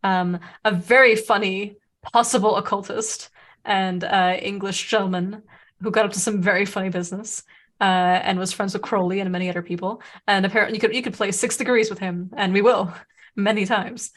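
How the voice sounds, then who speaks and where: A woman's voice: medium pitch, fairly even intonation, brisk. A woman whose first language is Serbo-Croatian, co-hosting a casual podcast, talking in a chatty way with many fillers and restarts. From Serbia. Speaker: podcast co-host.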